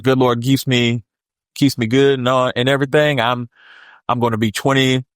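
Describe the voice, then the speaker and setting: A man, co-hosting a podcast in casual storytelling chat that is animated and full of laughs. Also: moderate pace, clean tone, no room sound. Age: 40s. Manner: animated, laughs often, acts out quotes in a high voice. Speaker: podcast co-host.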